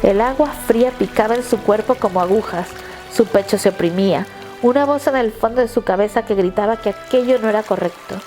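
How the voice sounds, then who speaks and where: A woman narrator reading a scripted dramatic story in a measured, literary storytelling manner. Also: mid-range voice, darkish tone, soft background music.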